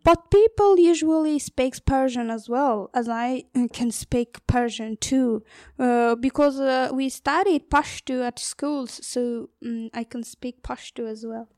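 A young woman from Afghanistan, an Uzbek speaker, talking as an interview guest in a halting way with many fillers and restarts. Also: sits high, darkish tone, no echo, clean studio sound. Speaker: guest, activist. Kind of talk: interview conversation.

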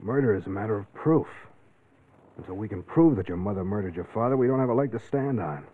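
An actor playing a terse, cynical, wisecracking young fugitive in an old-time radio drama. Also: male voice, lowish voice, lively intonation, fast talker. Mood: wary. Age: young adult. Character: fast-talking, cynical young fugitive drifter. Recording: tinny, noisy vintage radio recording.

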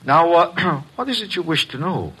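Radio drama nervous businessman: bright, swooping voice, overpolite, hesitant, fussy. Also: fairly high pitch, lively intonation, moderate pace, breathy edge, exaggerated voice, poor audio. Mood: nervous, defensive. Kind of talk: old-time radio mystery acting.

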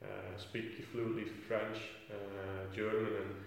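A man's voice: on the low side, little pitch movement, slow, gravelly. A young man with a Flemish accent, a footballer answering interview questions thoughtfully, with many fillers and restarts. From Belgium. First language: Flemish Dutch. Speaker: footballer guest. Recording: echoey room from a distance.

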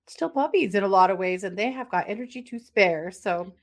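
A woman's voice: mid-range voice, lively intonation, fairly quick. Chatty middle-aged woman telling a case. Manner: chatty, laughing asides, frequent fillers. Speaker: podcast co-host. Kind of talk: casual chat and true crime case telling.

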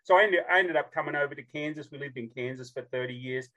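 An Australian man in his fifties telling stories casually as a podcast guest, jokey and animated as he acts out bits of dialogue. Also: fairly high pitch, fast talker, muffled recording. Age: 50s. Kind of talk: casual storytelling.

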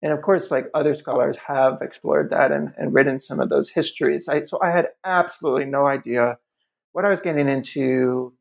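Podcast guest, professor, creaky voice, hesitant with ums, dull recording. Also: male voice, fairly high pitch, fairly even intonation, brisk. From upstate New York. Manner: thoughtful, many ums and restarts. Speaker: guest author; history professor. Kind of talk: conversational podcast interview. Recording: muffled lo-fi audio.

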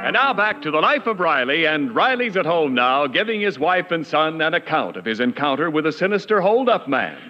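A male announcer reads scripted copy in vintage radio style. His voice is fairly high and lively in pitch. The recording is dull, with a long reverb. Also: fairly quick, hardly any pauses, soft background music.

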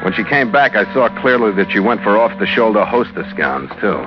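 A man's voice: lowish voice, big pitch swings, a little fast. A man playing a cool, wisecracking ship's master who tells his own story in a dry, hardboiled old radio drama style. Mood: wry, narrating. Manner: dry, wry, laconic. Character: Phil Kearney, cool wisecracking ship's master, first-person narrator. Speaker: lead actor and narrator. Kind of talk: hardboiled old-time radio adventure acting. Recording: muffled old radio recording with music.

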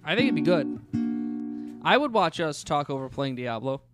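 A man's voice: fairly high pitch, sing-song, measured pace, over loud music. A man co-hosting a podcast, bantering casually in a joking, blunt and often profane way. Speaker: podcast co-host.

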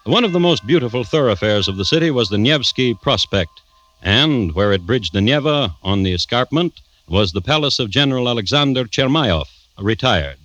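A man hosting and narrating a 1950s radio drama in a dry, wry, measured storytelling manner. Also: mid-range voice, lively intonation, fairly bright tone, no room sound. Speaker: host and narrator. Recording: noisy vintage radio recording.

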